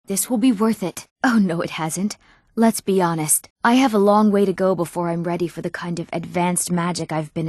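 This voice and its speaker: A woman's voice: mid-range voice, darkish tone, no room sound, clean studio sound, lightly acted. An actress playing an eager, earnest young woman in a fantasy video game, speaking with careful articulation. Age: young adult.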